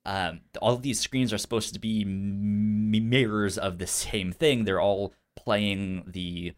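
A man's voice: lowish voice, fairly even intonation, slow. A man co-hosting a casual film discussion, stuttering often as he repeats sounds and syllables. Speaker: podcast co-host.

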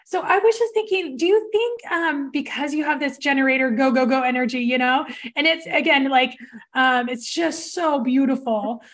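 A woman's voice: high voice, moderate pace. A woman podcast host asking questions in an effusive, admiring way. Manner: warm, effusive, gushing with praise. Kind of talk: interview questions.

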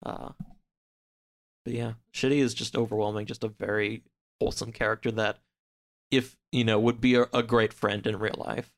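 A man speaking as a podcast guest, discussing a book in a casual, halting, conversational way. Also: on the low side, flat delivery.

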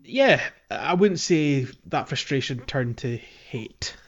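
A man co-hosting a podcast, chatting casually about a video game and filling pauses with ums. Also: mid-range voice, highs cut off. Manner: relaxed, many ums, walks through game areas. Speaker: podcast co-host.

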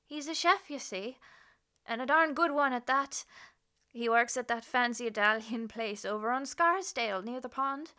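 Feisty policewoman neighbor, radio drama. High, sharp voice, garrulous and colloquial. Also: clear, resonant tone. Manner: folksy, garrulous, colloquial, chuckling. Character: chatty, nosy, feisty Irish-American policewoman neighbor. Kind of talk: radio play acting.

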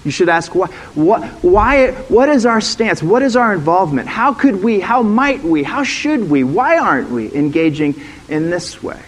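A middle-aged man preaches in a relaxed, conversational way and often turns to the congregation with questions. His voice sits a little on the high side for a man. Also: normal speed. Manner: conversational teaching, asks the room questions. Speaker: former pastor, guest speaker. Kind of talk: sermon.

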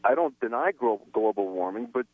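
A man's voice: medium pitch, expressive, a little fast, no room sound. A man from New Mexico calling a radio talk show, rambling and hesitant with many restarts. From New Mexico, USA. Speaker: caller. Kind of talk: call-in radio conversation. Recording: tinny phone line.

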